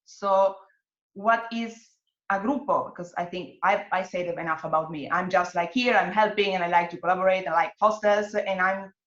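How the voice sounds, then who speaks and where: A woman's voice: mid-range voice, steady tone, moderate pace. A woman with an Italian accent, a guest explaining things in friendly, searching speech on a video call. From Italy. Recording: muffled roomy video call audio.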